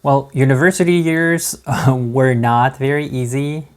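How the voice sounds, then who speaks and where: Guy with non-native english accent telling a story on his vlog, slow, lots of ums and restarts. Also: mid-range voice, fairly even intonation. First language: not English. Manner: halting, many fillers and restarts, laughs between words. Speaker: vlogger. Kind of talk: casual talk to camera.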